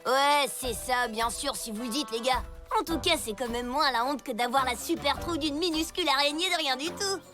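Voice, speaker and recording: A woman playing a bratty, mocking, bossy young child in an animated children's cartoon. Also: sits high, a little fast, hardly any pauses, lightly acted. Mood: sarcastic. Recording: music behind the voice.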